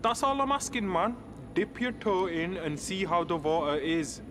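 An actor playing Ben, a young man, breezy with friends and calmly reasoning in arguments. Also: high voice, moderate pace, background noise, close to an everyday voice. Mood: joking. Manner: breezy banter, then calm and patronizing.

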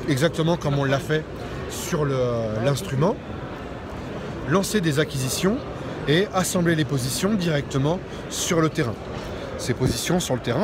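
A man presenting a product in an unscripted demonstration, explaining step by step with hesitations and rephrasings. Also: a little high. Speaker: product presenter at a trade show stand. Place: busy exhibition hall, indoors. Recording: loud trade-show hall noise behind. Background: loud ambient hall noise.

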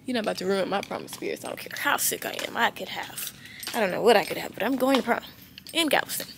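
A teenage girl, talking through a stuffy, blocked-up nose as she vlogs her day. She chats candidly to her viewers and drifts into rambling asides in a middle-pitched voice. A faint hiss of noise sits behind her. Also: lively intonation, quick pace, gravelly. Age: teens. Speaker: vlogger. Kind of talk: casual vlog chat.

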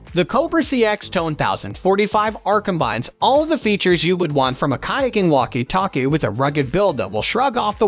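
A man with a high-set voice delivers a scripted product review voice-over. His intonation moves in animated rises and falls as he reads through features in a promotional, list-style way. Faint music plays behind him.

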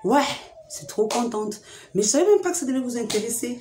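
A young woman with a cold hosting a chatty beauty vlog, talking straight to her viewers in a lively, teasing way, at home. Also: fairly high pitch, fast, reverberant, over music. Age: young adult. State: has a cold. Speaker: beauty vlogger. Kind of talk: chatty direct-to-camera vlog. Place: room at home with a fridge and sofa.

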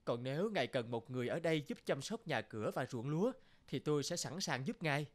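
A man's voice: on the high side, lively intonation, fast, lightly acted. A man voicing a kind young peasant in a fairy-tale cartoon, courteous and earnest. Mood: willing, agreeable.

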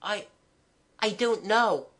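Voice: medium pitch, sing-song, moderate pace, fairly bright tone, pitched above the performer's own voice. A reader voicing an eager, imaginative young boy in a storybook, chattering earnestly and confidingly. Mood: timid.

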